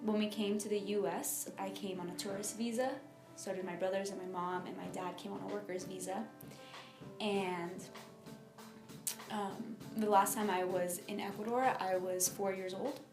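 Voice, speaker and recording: A young adult woman from Miami telling her personal story in an interview, speaking informally and haltingly with lots of filler phrases. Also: mid-range voice, steady tone, reverberant, music in the background. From United States (Miami, Florida). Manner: informal, halting, frequent "like" and "you know".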